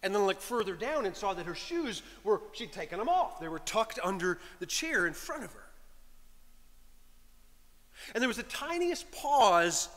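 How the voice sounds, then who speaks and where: A middle-aged man, a priest, telling a story in a sermon from the pulpit, animated and shifting between loud lines and hushed whispers. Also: sits high, moderate pace. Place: large stone church, speaking from a pulpit. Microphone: pulpit microphone. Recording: long church reverb.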